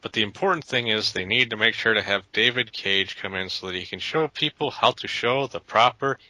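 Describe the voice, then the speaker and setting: A man's voice: on the low side, fairly quick, bright, forceful tone, dry recording, poor audio. A man co-hosting a casual gaming podcast, making wry, sarcastic remarks. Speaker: podcast co-host.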